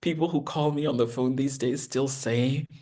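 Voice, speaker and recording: A woman, teaching a professional etiquette masterclass in an earnest, encouraging way with deliberate enunciation. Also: steady tone, dark tone. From United States. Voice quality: deep voice. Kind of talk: masterclass lecture.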